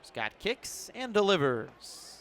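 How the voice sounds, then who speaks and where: Higher-pitched man calling baseball play-by-play. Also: very animated, takes it slowly, fairly bright tone. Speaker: baseball radio play-by-play announcer. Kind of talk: live sports commentary. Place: broadcast position at an outdoor ballpark in the evening.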